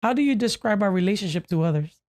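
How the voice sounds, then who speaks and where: A woman co-hosting a podcast with a deep voice, talking earnestly to a friend and often restarting her sentences. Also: animated, a little mellow. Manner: earnest, halting with many restarts. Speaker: podcast co-host. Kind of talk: casual heartfelt chat. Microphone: podcast microphone, no camera.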